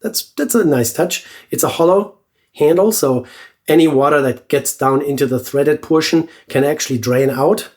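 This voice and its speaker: A man's voice: a little high, moderate pace. A man with a stuffy, nasal voice from a cold, chatting casually while he reviews a razor on his shaving channel. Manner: relaxed, chatty, with many fillers and restarts. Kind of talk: casual product review vlog.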